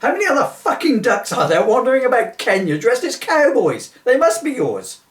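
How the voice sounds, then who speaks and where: A man's voice: very high voice, huge pitch swings, moderate pace, a bit bright, voice pushed up, exaggerated voice. A man playing an exasperated, sarcastic police constable in a comic reading, officiously polite with fraying patience. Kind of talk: comic two-hander read from a book. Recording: distant, echoey room recording.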